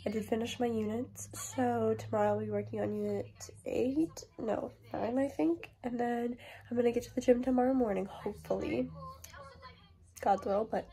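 A woman's voice: on the high side, fairly even intonation, moderate pace, mellow. A young woman vlogging casually to her phone camera, chatty and rambling, with a voice worn by a cold. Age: young adult. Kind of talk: casual vlog chat to camera. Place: bedroom and car. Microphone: phone held at arm's length.

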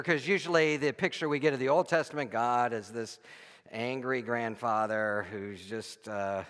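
A man preaching an informal, conversational sermon, speaking haltingly with frequent pauses and restarts. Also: medium pitch, a bit bright. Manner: halting, often pausing and restarting. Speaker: pastor preaching. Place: church stage, indoors before a congregation. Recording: echoey hall, long reverb.

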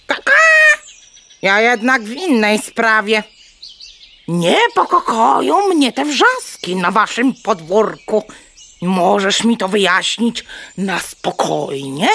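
A woman's voice: fairly high pitch, very animated, slow pace, sharp tone, heavily acted. A woman playing a bold, clever, bossy mother hen in a children's audio play, clucking between theatrical phrases. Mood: dry, resigned.

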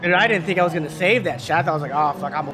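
An excitable, slangy man chatting with friends in a racing game, swearing often, over car engines. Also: a little high, very fast, music in the background. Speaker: online racing game player. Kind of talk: casual gaming chat with friends. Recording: very loud game engine noise. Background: race car engines from a video game.

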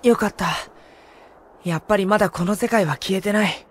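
A woman's voice: medium pitch, fairly quick, natural voice. A woman playing a cheerful, eager, earnest teenage boy hero in a Japanese game. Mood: calm, determined. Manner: earnest, boyish, excitable. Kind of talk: Japanese game cutscene acting.

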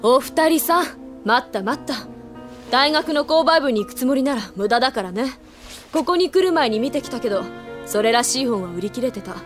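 A somewhat high voice as a considerate older schoolgirl. She comes across as easygoing and frank, in anime audio drama style, over music. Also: animated, brisk. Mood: brisk.

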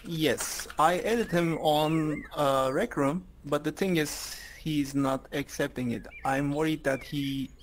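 A man's voice: a little high, fairly even intonation, slow, few pauses. A man from Turkey chatting casually in a virtual reality meeting through a headset microphone. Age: adult parent. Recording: noisy headset voice chat.

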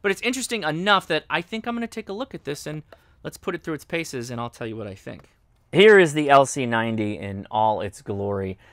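A man's voice: mid-range voice, very animated, brisk, a bit bright, dry recording, studio quality. An American man in his thirties giving a casual, explanatory product review and demonstration. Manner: informal, explanatory. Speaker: host, radio reviewer. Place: indoors, home radio studio and workbench.

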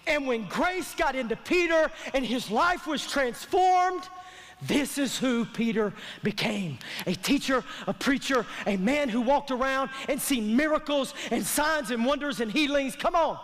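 Very high-pitched preacher man in reverberant hall. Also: a little slow. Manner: animated, emphatic, repetitive, colloquial, calls for audience response. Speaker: pastor. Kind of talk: sermon preaching. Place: large church auditorium stage, indoors. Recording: long hall reverb from stage sound system.

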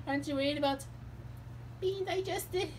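A very high, squeaky cartoon sea sponge, excitable and whiny. He is dramatic and exclamatory, in a homemade puppet show with some background noise. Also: moderate pace. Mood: worried. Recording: noisy homemade recording.

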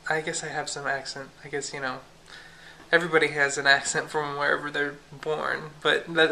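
A young woman chats into a camera she holds at arm's length in her home at night, giggling often and filling her talk with "like" and "you know." Her voice is bright, with a faint hum of room noise behind it. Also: sits low. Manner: chatty and giggly, lots of fillers. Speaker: vlogger. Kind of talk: casual chat to camera. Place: home kitchen at night, then living room. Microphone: camera held at arm's length.

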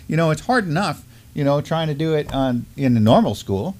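A middle-aged man co-hosting a community news show, talking casually and haltingly as he thinks aloud. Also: on the high side, expressive, darkish tone. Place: indoor studio desk, backdrop.

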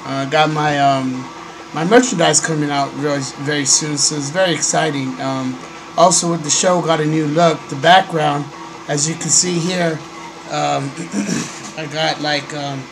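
A young man with a somewhat high voice talks hoarsely, still getting over a cold, into a desk microphone in a small bedroom. He fills his sentences with ums and keeps clearing his throat, and a steady hiss sits under the recording. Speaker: host. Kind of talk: casual webcam vlog. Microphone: desk microphone on a stand. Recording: noisy home recording.

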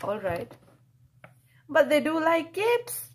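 A woman storyteller reads a picture book to young children in a high, playful voice. She takes her time, stretching key words for emphasis. Her delivery swings widely and expressively from line to line. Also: sing-song, slow. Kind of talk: animated picture-book read-aloud for children.

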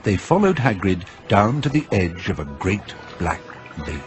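An actor as a storybook narrator in a video game, deliberate and dramatic. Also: male voice, low voice, very animated. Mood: measured, dramatic. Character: measured storybook narrator of a magical tale. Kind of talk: scripted narration. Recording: game music behind.

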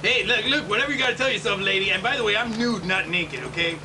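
Man voicing a high-pitched whiny puppet dude. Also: fast, far from the mic, background noise, close to an everyday voice. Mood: cocky, hawking. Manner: whiny, defensive, slangy street-hawker patter. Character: insecure naked beach fortune-teller puppet dude, male. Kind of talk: puppet comedy sketch.

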